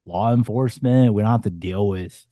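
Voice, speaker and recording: Dating coach, male, 40s, blunt and profane, mellow tone, dry close sound. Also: on the low side, moderate pace, dry recording. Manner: blunt, swearing, punchy asides. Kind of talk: casual panel discussion.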